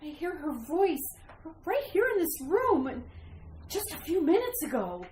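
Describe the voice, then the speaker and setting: Woman acting a high-voiced, haunted young wife. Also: very animated, a little slow, a little mellow. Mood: haunted, pleading. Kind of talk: retro radio mystery acting. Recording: roomy echo, noticeable background noise.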